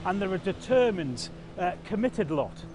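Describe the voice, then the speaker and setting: A man's voice: high voice, very animated, normal speed. A man speaking outdoors in a fluent, conversational way.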